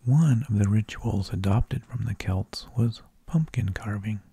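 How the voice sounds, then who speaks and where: A man narrating in a soft, breathy ASMR whisper, with slow, deliberate phrasing, close to the microphone. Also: fairly low pitch, no room sound. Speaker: ASMR host.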